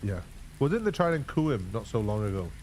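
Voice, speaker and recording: A male guest jokes and teases the host in easy banter. His voice sits somewhat low. Faint background noise runs under his talk. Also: sing-song, fast talker, a little mellow. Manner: playful, teasing, calls people bro and guys. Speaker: stream guest. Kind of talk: casual banter.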